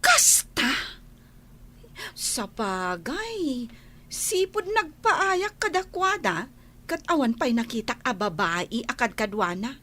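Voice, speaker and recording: A woman's voice: on the high side, very animated, takes it slowly, sharp tone, full character voice. A woman playing a nosy, feisty young housemaid in an Ilocano radio comedy-drama, prying and exclaiming theatrically. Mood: shocked, indignant.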